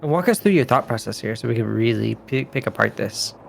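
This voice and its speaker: A male player talks through his moves in a tabletop game. His voice sits at an average pitch with a noticeable creak, and his delivery is informal and slangy. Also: lively intonation, a little fast, a little hiss or hum. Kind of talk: casual chat during a tabletop game.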